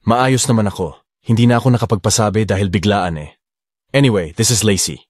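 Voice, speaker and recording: A woman voicing a composed, gentle young man, an affectionate and courteous big brother in an audio story. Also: very deep voice, a little fast, no echo, clean studio sound, voice pushed down. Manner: calm, tender, courteous. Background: music.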